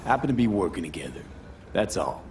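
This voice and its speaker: A man's voice: low voice, very animated, fairly quick, background noise. A man playing a cocky, streetwise young mercenary in a video game, with a clipped, blunt, wry delivery. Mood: businesslike. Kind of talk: dramatic game dialogue.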